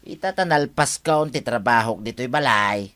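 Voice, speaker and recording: An actor playing a smug, smooth-talking philandering husband in an Ilocano-accented radio comedy drama. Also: male voice, medium pitch, expressive, moderate pace, a bit bright, natural voice. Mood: coaxing.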